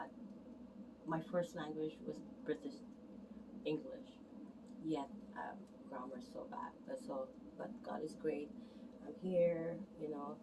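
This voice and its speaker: A woman massage therapist talking casually and earnestly to camera, rambling and correcting herself as she goes. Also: low-pitched. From Canada immigrant, arrived as a teen. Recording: distant mic, noticeable background noise.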